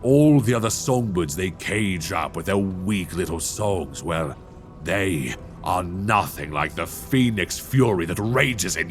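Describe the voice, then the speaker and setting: Male actor as an ancient, towering dragon for an ASMR roleplay; low, unhurried, seductive and menacing, close binaural mic. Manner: slow, intimate, coaxing and menacing.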